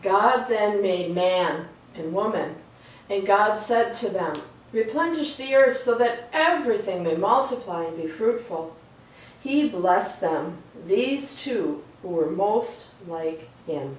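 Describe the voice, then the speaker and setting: A woman reading aloud for a children's storytime in a slow, deliberate, expressive way. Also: mid-range voice. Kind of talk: read-aloud storytime with chatty asides. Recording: echoey room, distant mic, faint noise.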